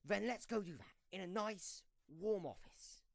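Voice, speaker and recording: A man's voice: high-pitched, expressive, unhurried, creaky, no room sound, clean studio sound, heavily acted. A man voicing a whiny, sarcastic young pegasus sidekick who grumbles about the cold, in an amateur audio drama.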